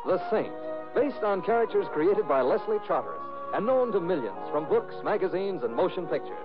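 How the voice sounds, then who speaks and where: High, dramatic male radio announcer. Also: big pitch swings, normal speed. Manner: grand, declamatory. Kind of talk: scripted 1950 radio show introduction. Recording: muffled old radio, loud music.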